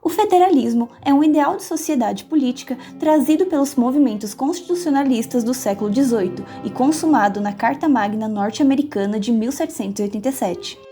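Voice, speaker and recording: A woman's voice: fairly high pitch, dark tone. A woman narrating an explanatory documentary in a formal, scripted way. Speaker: narrator and street interviewer. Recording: voice-over with music behind.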